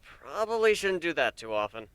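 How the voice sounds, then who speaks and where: Man playing a gentle, smooth-voiced pegasus husband. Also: a little high, sing-song, moderate pace, no echo, pitched above the performer's own voice, low-quality recording. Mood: wry, amused. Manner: soft, soothing, gently teasing. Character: gentle, wry male pegasus pony, devoted new husband.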